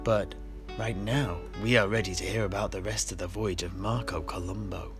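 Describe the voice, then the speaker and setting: A man's voice: deep voice, fairly even intonation, moderate pace, lightly acted. A man playing a jovial, tippling fantasy tavern keeper, telling stories in character in a hammy, hesitant, musing way. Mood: pleased, musing. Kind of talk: in-character theatrical fantasy storytelling. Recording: music behind the voice.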